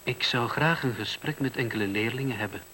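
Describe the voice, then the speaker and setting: An actor playing a sceptical investigator who questions persistently and in measured words, in a Dutch radio play. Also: male voice, mid-range voice, pushed and bright, breathy edge, low-quality recording. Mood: firm. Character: probing, sceptical male investigator writing a report. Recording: faint hiss, old radio recording.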